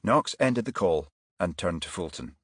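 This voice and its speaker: A man's voice: low-pitched, animated, normal speed, slightly rough, no room sound, clean studio sound. A man reading scripted crime fiction as an audiobook narrator.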